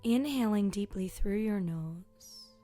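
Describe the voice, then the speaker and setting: A woman leading a guided meditation as a yoga teacher, speaking softly and soothingly with long pauses and a voice that can trail toward a breathy whisper. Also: mid-range voice, lively intonation. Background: soft meditation music with singing bowl tones.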